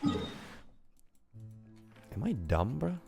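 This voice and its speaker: A man streaming a game and reacting casually, with drawn-out, incredulous exclamations. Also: mid-range voice, huge pitch swings, creaky. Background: soft game music.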